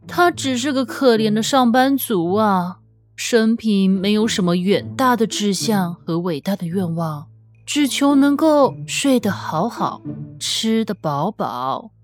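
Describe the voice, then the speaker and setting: A woman reading scripted fiction as an audiobook narrator, with an expressive, measured, dramatic storytelling delivery. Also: medium pitch. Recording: music under the voice. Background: background music.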